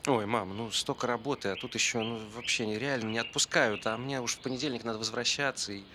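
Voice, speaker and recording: A man's voice: mid-range voice, fast, background noise, natural voice. An actor playing a busy grown son who chats with his parents in a self-deprecating way. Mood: chatty. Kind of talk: stage play acting.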